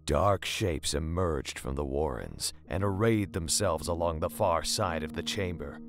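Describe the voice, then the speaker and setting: A man narrating a dark horror story in a dramatic, scripted storytelling style. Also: extremely low pitch, lively intonation, measured pace. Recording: music bed under the narration.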